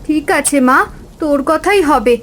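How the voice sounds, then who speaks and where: A woman voicing a gentle, resigned and devout village wife and mother in a fairy-tale cartoon. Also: high voice, moderate pace. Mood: agreeable.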